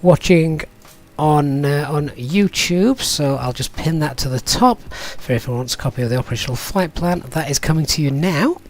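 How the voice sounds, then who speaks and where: Higher-pitched man, croaky with a cold. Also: expressive, moderate pace. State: has a cold. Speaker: flight simulator streamer and host. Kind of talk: casual livestream commentary.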